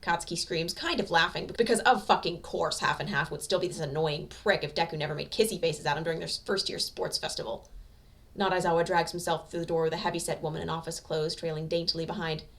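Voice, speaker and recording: Low-voiced woman narrating, slightly off mic. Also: steady tone, fast, recorded from a distance. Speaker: amateur audiobook narrator. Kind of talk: expressive scripted story narration.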